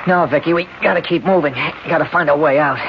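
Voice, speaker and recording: An actor playing a nervous but gallant lost young man, slangy and halting, in 1970s radio drama style. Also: on the high side, lightly acted. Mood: reassuring. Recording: dull, noisy old radio broadcast recording.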